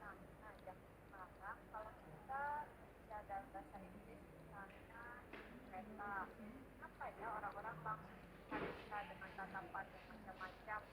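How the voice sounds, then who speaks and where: A woman moderating a workshop, reading out audience questions in a hesitant, halting way. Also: mid-range voice, little pitch movement. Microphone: online call mic. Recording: loud noise on online call.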